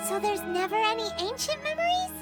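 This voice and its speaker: A woman's voice: extremely high pitch, big pitch swings, fairly quick. A woman voicing a tiny, bubbly floating girl companion who talks about herself in the third person, in a video game. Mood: curious. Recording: game audio with loud music. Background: game music.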